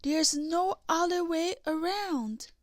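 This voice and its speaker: A woman's voice: extremely high pitch, slow, dry recording, studio quality, voice pushed up. A woman voicing an upbeat, adventurous young princess, eager and practical, in a children's storybook. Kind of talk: children's storybook character reading.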